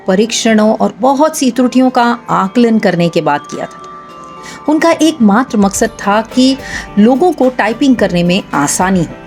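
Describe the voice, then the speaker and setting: A woman from India narrating an inspirational story in a measured way, speaking directly to her listeners. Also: a little high, darkish tone. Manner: measured, addresses listeners directly with rhetorical questions. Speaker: storyteller and host. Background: background music.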